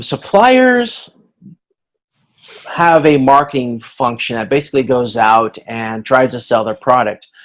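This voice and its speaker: A man working as an instructor explains material in an informal online lecture, often pausing and repeating words as he goes. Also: mid-range voice, low-quality recording. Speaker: university instructor.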